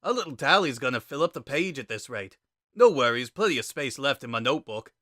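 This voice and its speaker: A man's voice: mid-range voice, lively intonation, brisk, bright, forceful tone, no echo, studio quality. A man voicing a laid-back, wry joker with a casual, sardonic drawl in a dramatic story reading. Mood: breezy. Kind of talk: dramatic reading of fan fiction.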